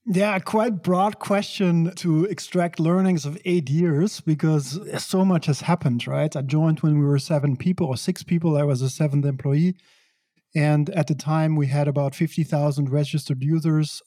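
A middle-aged man from Belgium, speaking as an interview guest in a reflective, measured way with occasional laughs. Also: on the high side, fairly even intonation, warm tone, no echo. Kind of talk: conversational interview.

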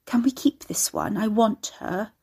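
A woman's voice: medium pitch, unhurried, warm tone, breathy edge. Woman as a veiled ghoulish mother, whispered delivery, eerie, covetous, horror audiobook. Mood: hushed, covetous.

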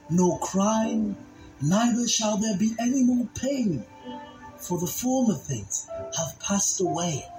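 Preacher for a scripture dramatization: slow, solemn, emphatic delivery, echoey sound over music. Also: medium pitch, mellow. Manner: solemn, emphatic, deliberate phrasing. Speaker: Bible teacher and narrator. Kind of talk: dramatized scripture reading and sermon.

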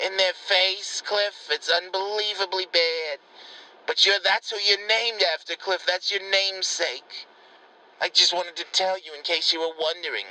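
A rambling old grandmother, put on by a man, fusses and gossips in a high, piercing, very bright voice. She dotes on her grandson one moment and clutches her pearls the next, all in one breathless comedic phone message. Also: exaggerated voice, low-quality recording. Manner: fussy, gossipy, doting.

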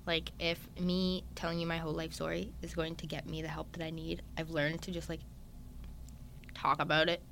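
A young man, a guest in a casual chat, talking openly and at length with lots of fillers and animated retellings. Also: on the high side, normal speed, vocal fry, slight background noise. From Newfoundland, Canada. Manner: chatty and open, many "like" fillers, animated retellings.

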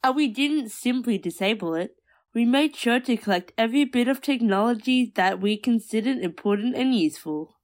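A woman's voice: fairly high pitch, lively intonation, normal speed, natural voice. A woman playing a practical, self-assured time traveller from the future, narrating in an audio drama.

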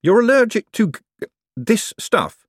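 A man voicing a polite, slightly uneasy male boss in an audiobook, probing and quick to apologise. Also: mid-range voice, wildly swooping pitch, no echo, clean studio sound, pitched above the performer's own voice. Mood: curious. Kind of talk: audiobook character dialogue.

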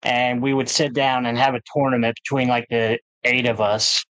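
A man's voice: mid-range voice, level tone, normal speed, a bit bright, no room sound, low-quality recording. Joking, laughing man in his late twenties. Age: late 20s. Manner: laughs often, joking and reminiscing. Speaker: podcast co-host. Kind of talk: casual chat.

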